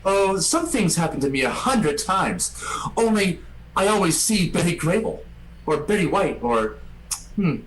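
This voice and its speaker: A woman reading a 1940s radio play part on a video call, mock-proper and deadpan. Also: lowish voice, unhurried, slight background noise, rough recording. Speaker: cast member in a comedic radio play reading. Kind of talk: 1940s radio acting with crude jokes.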